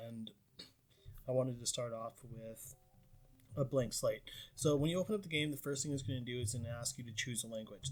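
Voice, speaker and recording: A man from Canada walks through his indie game in a casual devlog voice, mid-pitched and unforced. He rambles and hedges with fillers and pokes gentle fun at his own work. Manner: rambling, self-deprecating, frequent fillers.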